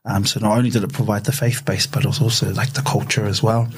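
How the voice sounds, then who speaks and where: A young man with a New Zealand accent talking in a relaxed interview, often tagging his sentences with "eh". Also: lowish voice, fast talker, breathy edge. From West Auckland, New Zealand. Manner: relaxed, says "eh" a lot, frequent fillers. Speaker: podcast guest. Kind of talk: casual interview.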